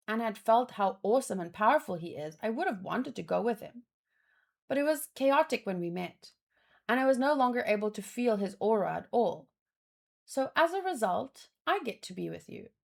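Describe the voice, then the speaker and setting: A woman with a fairly high-pitched voice reads a story aloud. She voices the dialogue informally and expressively, slipping into offhand commentary as a read-along host would. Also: creaky. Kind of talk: reading dialogue aloud with casual reaction commentary.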